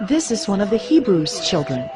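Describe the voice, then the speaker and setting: A compassionate young princess acted by a woman in scripture drama. She speaks with composure in a medium-pitched voice, with music clearly audible behind her. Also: big pitch swings, normal speed, close to an everyday voice. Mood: pitying. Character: Pharaoh's daughter, compassionate young Egyptian princess.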